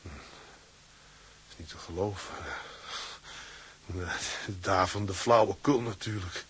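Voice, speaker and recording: Husky male voice, young seaman husband, proud, blustering, colloquial, radio drama. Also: low voice, fairly even intonation, slow pace, fairly bright tone, full character voice. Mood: indignant disbelief. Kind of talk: Dutch radio drama acting.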